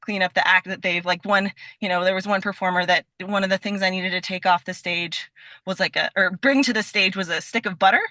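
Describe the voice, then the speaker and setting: Bright-voiced, chatty, enthusiastic woman. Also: medium pitch, fairly even intonation, fairly quick, dry recording. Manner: chatty, enthusiastic, heavy use of "like". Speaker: podcast guest, stage performer and voice actor. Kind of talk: casual interview chat.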